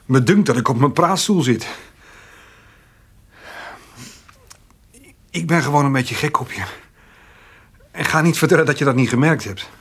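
Shy, husky-voiced country man. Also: medium pitch, expressive, brisk, a bit bright. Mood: earnest, affectionate. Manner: hesitant, stammering, roundabout. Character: shy, awkward country man clumsily courting. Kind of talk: naturalistic radio drama.

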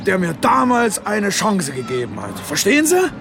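An actor playing a chatty, sheepish ex-convict street vendor in a satirical radio play, rambling and stammering. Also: male voice, high-pitched, wildly swooping pitch, normal speed, vocal fry, full character voice. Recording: noisy urban street background. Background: outdoor street noise.

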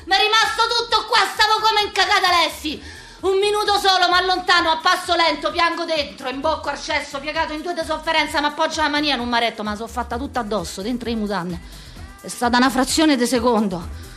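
An actress playing a crude, desperate and humiliated Roman street character in a dramatic theatrical monologue with a Roman accent. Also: female voice, fast, pushed and bright, faint music behind. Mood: panicked outburst. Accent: Roman Italian. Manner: dramatic, swinging from shrill outburst to low murmur.

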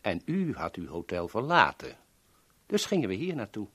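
Husky, courteous cold-blooded gunman. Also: lowish voice, wildly swooping pitch, a bit bright, full character voice. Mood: polite, wry. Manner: formal, deliberate, drily polite. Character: courteous, cold-blooded male gunman, dryly matter-of-fact. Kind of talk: 1970s Dutch radio drama acting.

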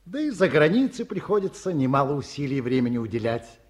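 An actor playing a courtly, self-satisfied middle-aged academic in an old Soviet radio play, speaking in formal, ornate, hesitant phrases. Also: male voice, medium pitch, sing-song, quick pace. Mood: earnest, nostalgic. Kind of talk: mid-century Soviet radio play acting. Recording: muffled-voiced 1950s radio studio recording.

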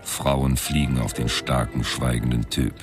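An actor playing a wisecracking, laconic private sleuth in a radio crime drama, deadpan and sardonic. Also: male voice, extremely low pitch, measured pace. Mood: deadpan narrating. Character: wisecracking deadpan New York private sleuth, self-styled tough guy. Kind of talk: radio crime drama, first-person noir narration and dialogue. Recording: music under the voice.

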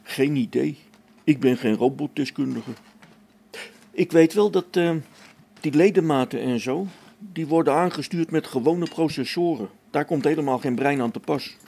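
Male actor as brusque, practical space technician, radio drama; slightly high pitch, mellow timbre, colloquial delivery. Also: normal speed, creaky, husky. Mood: matter-of-fact. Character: practical, matter-of-fact male space-station technician, mildly irritable. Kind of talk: Dutch radio play acting.

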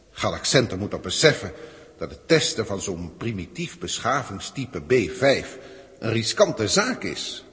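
A man's voice: on the low side, fairly bright tone. A man playing a haughty, irritable senior alien overseer in a 1960s science-fiction radio play, speaking in pompous, precise officialese. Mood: irritated, disdainful. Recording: long reverberant hall, old radio recording.

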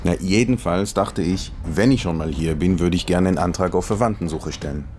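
Low-voiced, hesitant middle-aged man. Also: a little fast, darkish tone, slight background noise. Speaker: radio producer searching for relatives. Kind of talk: conversational documentary dialogue.

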